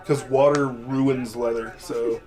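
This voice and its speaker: A young man co-hosting a casual riff on old commercials, delivering dry deadpan jokes. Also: medium pitch, takes it slowly, soft background music. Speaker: co-host riffing on old commercials. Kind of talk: casual group riffing.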